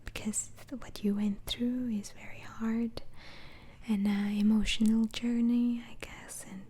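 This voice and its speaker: Slow whispering woman, soft and mellow. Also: a little high, level tone, slightly rough. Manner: slow, hesitant, soothing. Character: gentle, reassuring female asylum doctor. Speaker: ASMR roleplayer as a doctor interviewing a patient. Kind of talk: whispered ASMR roleplay.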